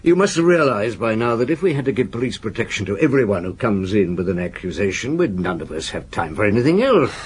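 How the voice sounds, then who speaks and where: An actor playing a patient, probing senior police chief inspector in a radio drama, with a terse, authoritative, questioning manner. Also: male voice, fairly low pitch, big pitch swings, brisk, few pauses, slight background noise. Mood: probing, stern.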